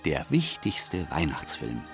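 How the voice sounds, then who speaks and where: A man reading scripted narration for a radio documentary, expressive and deliberate. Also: very deep voice, soft background music.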